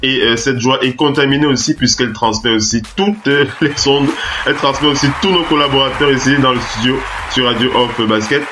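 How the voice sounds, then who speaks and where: A French-accented man hosts a basketball talk show on web radio, voice congested with the flu. He stumbles through fillers and restarts, then surges into excited announcing. His pitch sits at an ordinary middle. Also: a little fast, background noise. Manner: hesitant, many filler sounds and restarts, hyped-up announcer bursts. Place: radio studio.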